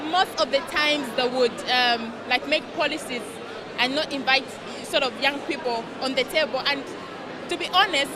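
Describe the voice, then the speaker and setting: A woman's voice: high-pitched, normal speed, a crowd in the background, background noise. A woman from Zambia, a conference delegate speaking fluently and articulately in a vox pop interview. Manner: articulate, fluent, says "you know".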